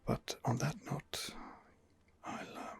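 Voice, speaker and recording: A man giving ASMR game commentary in a breathy, husky whisper, hesitant and rambling as he muses his way along. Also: slow pace. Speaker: video game streamer, channel host.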